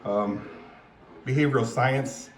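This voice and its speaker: Rambling older man clearing his throat. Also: medium pitch, poor audio. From Indonesia. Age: 70s. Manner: rambling, halting, many fillers and throat clearing. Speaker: vlogger. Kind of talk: rambling casual monologue.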